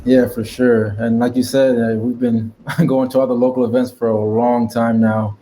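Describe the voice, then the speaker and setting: A man's voice: mid-range voice, flat delivery, normal speed, mellow, soft background music. A man with an American accent, telling his story casually as a podcast guest. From Connecticut, United States. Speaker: podcast guest, cybersecurity professional.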